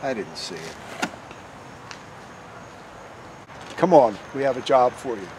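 A man's voice: medium pitch, expressive, a little fast, darkish tone, natural voice. A man playing a villain's businesslike lieutenant, matter-of-fact in his delivery. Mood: insistent. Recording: echoey room, distant mic, faint noise.

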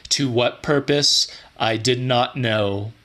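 A man giving a dramatic, theatrical reading of a horror audiobook in measured phrases. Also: mid-range voice, a bit bright. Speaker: audiobook reader. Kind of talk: dramatic scripted horror narration.

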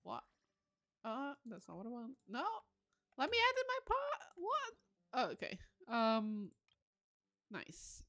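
A woman's voice: a little high, huge pitch swings, takes it slowly, pauses often, a bit bright. A woman livestream host giving casual game commentary, musing aloud with enthusiastic exclamations.

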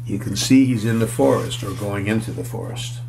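A man's voice: lowish voice, brisk. A man in his sixties reading a children's storybook aloud, adding simple, casual explanatory asides as if to young children. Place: small furnished room indoors. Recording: clear background noise.

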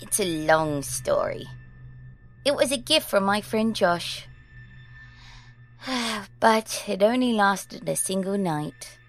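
Woman playing a precocious, creaky-voiced little girl. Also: medium pitch, animated, slow pace, long pauses, soft background music. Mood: prim, composed. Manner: proper, old-fashioned formal phrasing. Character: ancient girl in a nine-year-old's body, prim, precocious. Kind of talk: audio drama acting.

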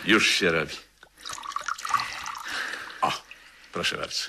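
A man's voice: sits low, slow, gravelly, exaggerated voice. A man in a 1970s Polish radio drama playing a chatty barman who humours a drunken customer with deferential, folksy patter. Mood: amused, obliging. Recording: faintly noisy old radio recording.

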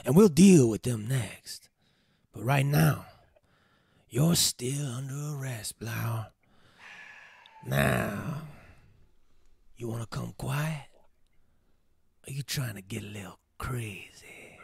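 A man playing a gruff, hard-boiled veteran cop with a gravelly, husky voice at a comedy table read. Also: medium pitch, drawn-out words, pauses often, a little mellow, no echo, clean studio sound, heavily acted. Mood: quiet menace.

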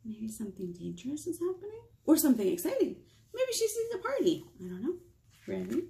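An American woman in her thirties leading a picture-book storytime for small children, playful and expressive, asking them questions. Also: high voice, brisk, creaky, slight background noise. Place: small indoor room with whiteboard.

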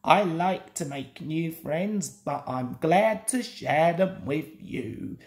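A woman's voice: sits low, expressive, takes it slowly, full character voice. A woman voicing a friendly, helpful male bus in a children's storybook.

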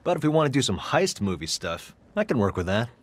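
A man's voice: mid-range voice, big pitch swings, a little fast. An actor playing a tough, swaggering young male gang member in a video game, blunt and foul-mouthed. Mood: blunt, confident.

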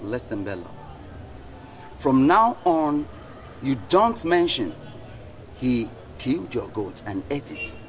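A man's voice: mid-range voice, big pitch swings, slow pace, pauses often. An actor playing a lawyer who lectures his client. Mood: lecturing. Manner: lecturing, emphatic. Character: lecturing male lawyer, middle-aged. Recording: outdoors with traffic noise.

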